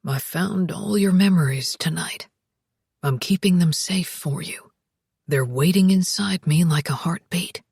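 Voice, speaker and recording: A devoted elderly husband murmuring gently to comfort his wife, voiced by a woman narrator. The voice sits low, soft and husky, often close to a whisper. Dry, close recording. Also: a little slow, no echo, exaggerated voice. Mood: soothing. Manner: gentle, hushed, reassuring.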